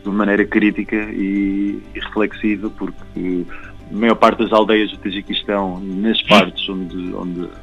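Low-voiced young Portuguese man, halting and reflective. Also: little pitch movement, vocal fry, fairly bright tone, muffled recording, faint music behind. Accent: European Portuguese. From Algarve, Portugal. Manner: halting, repeats words while searching for phrasing. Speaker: traveller and guide interviewed. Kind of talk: casual reflective interview talk.

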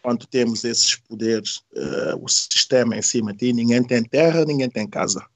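A man's voice: mid-range voice, moderate pace, dry recording. A middle-aged man from Senegal, speaking as an interview guest, telling personal stories in a casual, reflective, hesitant way that repeats phrases. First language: not Portuguese.

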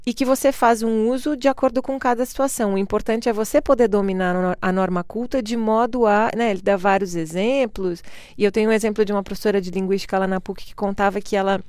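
A woman's voice: mid-range voice, fast talker, clean tone, no echo, studio quality. A middle-aged woman from Brazil with a Brazilian Portuguese accent, a guest speaking reflectively in a conversational interview, laughing often. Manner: reflective, laughs often, quotes others in lively voices.

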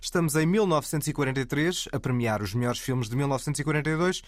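A man co-hosts a film podcast in a brisk, precise way and talks fast. His voice sounds close and dry, with no room around it. He often corrects details carefully. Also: mid-range voice, dry recording. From Spain. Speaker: podcast co-host. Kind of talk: casual film podcast chat.

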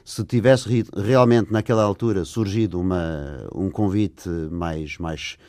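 A man's voice: deep voice, measured pace, a little mellow, no echo, studio quality. Older Englishman speaking Portuguese. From England. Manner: hesitant, with many fillers and restarts. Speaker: guest. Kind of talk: interview conversation.

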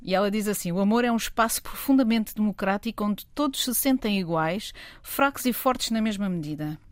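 A woman radio host with a European Portuguese accent talks in a lively, emphatic way, asking questions for effect. Her mid-pitched voice is recorded close and dry in a studio. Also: brisk, dry recording. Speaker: radio show host and journalist. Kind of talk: radio talk show chat. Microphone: studio microphone.

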